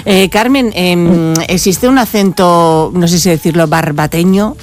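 A woman's voice: fairly low pitch, a little slow, faint music behind. Spanish woman hosting a conversation. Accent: Spanish from Spain. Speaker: radio host. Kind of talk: casual conversational interviewing.

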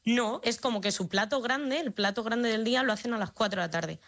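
A woman's voice: a little high, fairly quick, fairly bright tone. A young woman from Spain with a slightly Madrid-leaning Spanish accent, chatting as an interview guest with many fillers and restarts. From Spain, Extremadura. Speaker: guest, au pair abroad. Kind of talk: casual remote interview chat.

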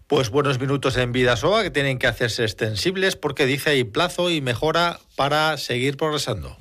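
A man's voice: medium pitch, animated, normal speed, fairly bright tone. Male sports radio presenter from Spain. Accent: Spanish from Spain. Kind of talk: sports news commentary linking interview clips.

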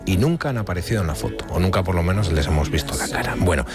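A male radio host with a deep voice and a peninsular Spanish accent. He interviews in a casual, conversational style, with music clearly playing behind him. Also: lively intonation, very fast.

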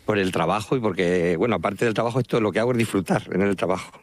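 A man's voice: fairly low pitch, fast. A middle-aged man from La Mancha with a Manchego accent, a travel agency founder talking loosely with many fillers while walking outdoors. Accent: Manchego Spanish. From Spain, La Mancha. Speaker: pilgrimage travel agency founder, phone guest. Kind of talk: casual radio interview. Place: walking outdoors along an estuary.

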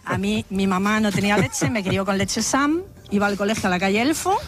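Chatty Madrid woman, medium pitch. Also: fairly quick. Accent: Madrid Spanish. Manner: lively, humorous storyteller. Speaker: interviewee, biology teacher. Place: village square outdoors.

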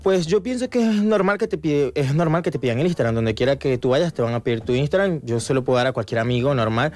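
Casual Latin American man, medium pitch. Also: animated, quick pace, darkish tone. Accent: Latin American Spanish. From born in Venezuela, raised in Colombia. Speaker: guest. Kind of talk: casual interview answers.

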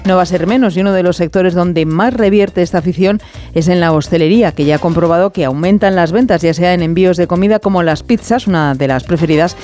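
A man anchoring a news bulletin, reading scripted links in a measured way. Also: sits high, darkish tone.

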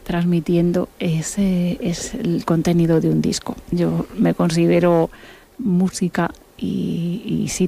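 A woman from Buenos Aires, a musician and author, talking in a relaxed interview and circling back with repeated words as she thinks. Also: lowish voice, vocal fry. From Argentina (Buenos Aires).

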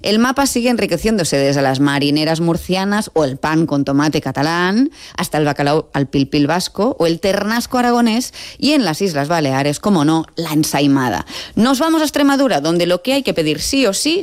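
A woman with an accent from Spain presenting in a lively, conversational style, as a contributor on a talk show. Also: on the low side, normal speed. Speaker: radio show contributor presenting food survey.

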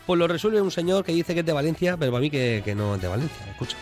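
This is a man with a fairly high voice. His pitch moves up and down a lot as he explains puzzles in casual radio chat. He comes across as playful and animated. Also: very fast, faint music behind. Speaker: mathematician contributor on a radio show.

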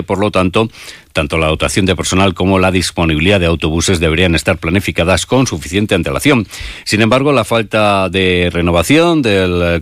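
A man's voice: low-pitched, a bit bright, dry recording, clean studio sound. A man with a Castilian Spanish accent presenting scripted local news on the radio.

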